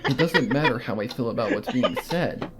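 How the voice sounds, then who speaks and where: A man narrating a first-person horror fanfiction in a measured, brooding way. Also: mid-range voice, lively intonation, over music.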